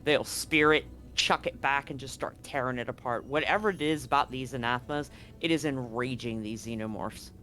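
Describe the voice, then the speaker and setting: A man's voice: medium pitch, moderate pace, few pauses, sharp tone, faint music behind. A man running a tabletop horror game as game master, narrating loosely and correcting himself as he goes. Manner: loose, conversational, self-correcting.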